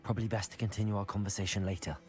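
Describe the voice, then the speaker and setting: A man's voice: fairly low pitch, steady tone, racing, darkish tone, faint music behind, close to an everyday voice. A young man playing a composed, helpful teenage student in a fantasy game, polite and inquisitive. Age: young adult. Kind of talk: video game acting.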